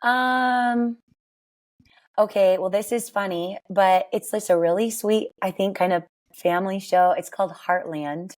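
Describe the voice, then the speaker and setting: A woman in her forties with a raspy voice, chatting and telling stories as a podcast guest, laughing often. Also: mid-range voice, measured pace, a little mellow. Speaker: guest, worship singer. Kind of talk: casual interview chat.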